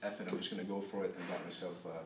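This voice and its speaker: A young man from Peru telling an unscripted personal story as a class speech, halting and full of filler sounds. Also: sits low, flat delivery, background noise. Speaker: student giving a class speech. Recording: echoey room, voice from a distance.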